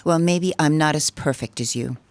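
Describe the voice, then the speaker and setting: A woman's voice: sits low, moderate pace, no echo. A woman acting an emotional mother in a radio drama. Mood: defensive. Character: mother, by turns defensive and gushingly excited.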